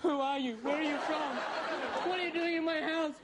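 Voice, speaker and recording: A man's voice: very high voice, steady tone, brisk, a loud crowd. A man performing in a sitcom, whining and ranting in a hysterical, melodramatic way. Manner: whiny, hysterical, melodramatic rants. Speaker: comedian in a sitcom. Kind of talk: scripted sitcom comedy. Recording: noisy, with loud studio audience laughter.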